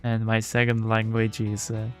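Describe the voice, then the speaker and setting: A man's voice: lowish voice, monotone, moderate pace, soft background music. A man with an Italian accent, Italian his first language, streaming himself reading a visual novel aloud and chatting with viewers, with lots of fillers and restarts.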